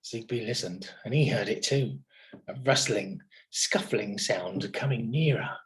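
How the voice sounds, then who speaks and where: A man narrating a children's storybook in an expressive, dramatic manner. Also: on the low side, a little slow, poor audio. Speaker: children's story reader at a primary school.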